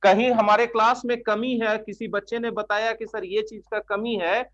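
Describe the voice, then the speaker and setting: High-voiced male teacher, online class. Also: steady tone, normal speed, a bit bright, no echo. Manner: repeats his own questions and keeps asking the class to answer yes or no. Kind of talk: online English vocabulary lesson taught in Hindi. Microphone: online class microphone. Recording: dull, dry online lecture audio.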